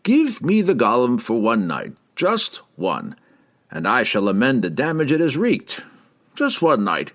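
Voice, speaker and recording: A man voicing an excitable, fussy old wizard scholar. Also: mid-range voice, unhurried, pitched above the performer's own voice, full character voice. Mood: eager.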